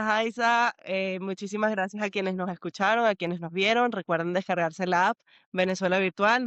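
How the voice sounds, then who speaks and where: Man, podcast host, gracious, high smooth voice, dry dull sound. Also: a bit bright, no echo. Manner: gracious, thanks the guest repeatedly. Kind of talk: interview hosting.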